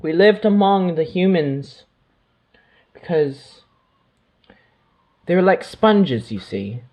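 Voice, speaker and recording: A man giving a channeled spiritual monologue, speaking as otherworldly beings in a drifting, mystical way, with pauses and soft trailing asides. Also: high voice, big pitch swings, highs cut off.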